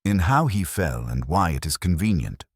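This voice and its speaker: A man's voice: sits low, sing-song, moderate pace, breathy edge, no room sound, clean studio sound, full character voice. A man voicing a pompous, unflappable private detective in an audiobook, formal and commanding. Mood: cool disdain. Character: pompous, imperturbable genius male private detective.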